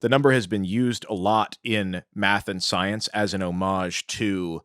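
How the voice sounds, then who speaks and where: A man's voice: fairly low pitch, fairly even intonation, unhurried, fairly bright tone, no room sound, clean studio sound. A man co-hosting a podcast, explaining things in an informative, conversational way. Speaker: podcast co-host.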